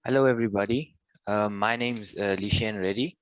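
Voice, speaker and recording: A man's voice: lowish voice, fairly even intonation, a little fast, no echo, poor audio. A sixteen-year-old boy from South Africa giving a polite, rehearsed introduction.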